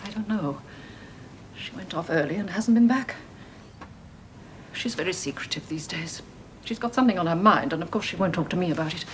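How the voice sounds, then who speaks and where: An actress doing a fretful old mother in a radio play, chattering on and choking up as she fusses over her son. Her voice sits low for a woman but swings widely in pitch, with a faint hiss behind it. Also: lively intonation, brisk, long pauses, lightly acted. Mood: doting. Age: older. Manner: fussing, rambling, tearful. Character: tearful doting elderly mother. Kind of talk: radio drama acting.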